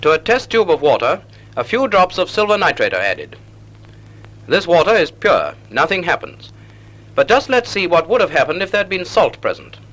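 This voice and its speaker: A British man giving scripted narration for a vintage naval instructional film, with clear, measured, didactic phrasing. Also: high voice, big pitch swings, fairly bright tone, poor audio. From United Kingdom.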